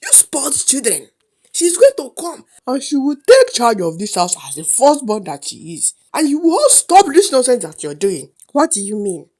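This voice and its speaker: A woman's voice: sits high, huge pitch swings, normal speed, darkish tone, voice pushed up, full character voice. A woman voicing an angry, mocking drunken uncle in a storytelling performance, scolding with indignant questions.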